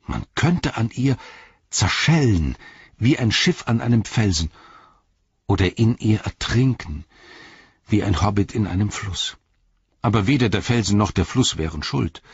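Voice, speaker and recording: A man's voice: fairly low pitch, lively intonation, measured pace, pauses often, slightly rough, pitched above the performer's own voice, heavily acted. A man voicing an earnest, simple-hearted halfling servant who rambles in a folksy, deferential way in a storybook reading. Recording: slightly dull, roomy sound.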